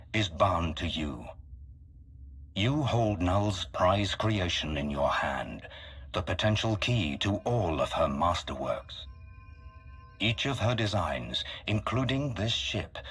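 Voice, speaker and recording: A man voicing a solemn, calculating mastermind in a video game, speaking formally and deliberately with a husky voice. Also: low voice, fairly bright tone, exaggerated voice. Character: solemn, calculating male mastermind with grand galactic ambitions. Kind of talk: dramatic video game acting.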